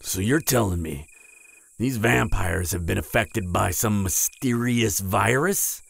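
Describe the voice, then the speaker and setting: Man acting a husky, flustered wounded hunter. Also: on the low side, exaggerated voice. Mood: grudging, wary. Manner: stammering, halting, breathy sighs and groans. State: in pain, strained. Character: wounded, proud, flustered young male vampire hunter. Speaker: audio roleplay voice actor. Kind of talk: intimate audio roleplay acting.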